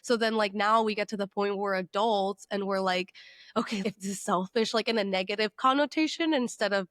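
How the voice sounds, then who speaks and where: A woman co-hosting an interview podcast, speaking casually and warmly relating. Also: medium pitch, brisk, no echo, studio quality. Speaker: podcast co-host.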